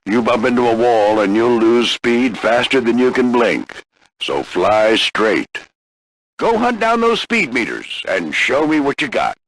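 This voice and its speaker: An older American man voicing a gruff veteran warplane flight instructor in a video game, husky and folksy, coaching firmly but with encouragement. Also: medium pitch, big pitch swings, unhurried, a bit bright, full character voice, rough recording. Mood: stern instructing. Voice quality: gravelly, husky. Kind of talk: scripted video game character lines.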